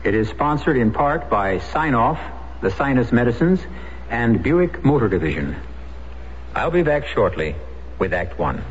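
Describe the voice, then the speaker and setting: A man hosting a radio mystery show, introducing the episode and narrating in a measured, reflective way. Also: medium pitch, very animated, soft background music. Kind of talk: formal radio host narration. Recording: lo-fi old radio broadcast.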